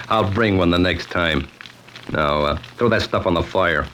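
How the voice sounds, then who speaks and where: Extremely low-voiced, smirking radio gunman. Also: background noise. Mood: smug, commanding. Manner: casual, unhurried, mocking politeness. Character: cool, smirking male gunman, quietly menacing. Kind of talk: old-time radio mystery acting.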